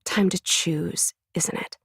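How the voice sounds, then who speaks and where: A woman's voice: slow, heavy background noise, lightly acted. An American actress playing a wry, stubborn, self-reflective teenage girl in a naturalistic audio drama, speaking casually and bluntly. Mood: reflective, resolute. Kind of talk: intimate, naturalistic audio drama monologue and dialogue.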